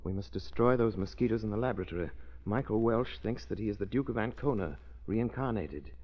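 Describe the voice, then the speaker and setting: A low-voiced male sleuth who talks very quickly, played by a man. He is decisive, clipped and commanding, in 1930s radio acting style. The recording is dull and lo-fi. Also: lightly acted. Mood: urgent. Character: urgent, decisive male amateur detective hero.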